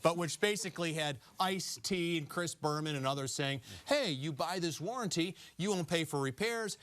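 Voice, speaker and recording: A man moderates a televised current affairs panel. His voice sits somewhat high for a man, and he sets out each item before handing a question to the table. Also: moderate pace, a bit bright. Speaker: host and moderator of a panel discussion.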